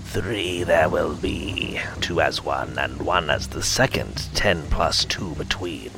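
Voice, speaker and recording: Stern old oracle woman, slow, harsh whispery voice, gravelly, man putting her on. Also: medium pitch, wildly swooping pitch, music in the background, voice pushed up, heavily acted, low-quality recording. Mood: harsh whispered prophecy.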